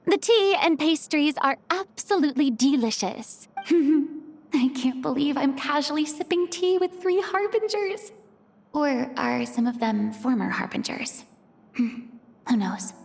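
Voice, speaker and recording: A woman voicing a polite young woman in a game, softly amused and gracious. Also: high voice, lively intonation, measured pace, vocal fry, full character voice. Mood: delighted. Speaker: game character.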